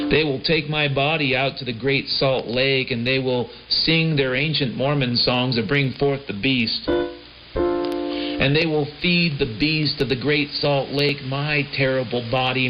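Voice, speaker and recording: Loud piano and keyboard music plays behind a man on a dull, lo-fi shortwave radio recording. He rambles through an improvised comic monologue like a radio host. His delivery is theatrical and mock-prophetic, at a medium pitch. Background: prominent piano and keyboard music.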